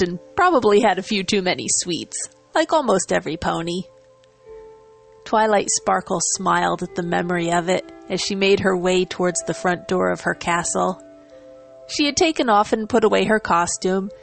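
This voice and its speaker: A woman narrates a story in a dramatic reading. Her delivery is measured and expressive, at a middle pitch, with music playing behind her.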